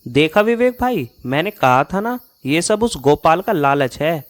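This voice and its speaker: A man's voice: fairly high pitch, sing-song, a little slow. A man playing a suspicious, grumbling male customer in a Hindi cartoon tale, insistent and accusing in manner. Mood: scheming. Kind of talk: Hindi moral-story cartoon dialogue. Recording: music behind the voice.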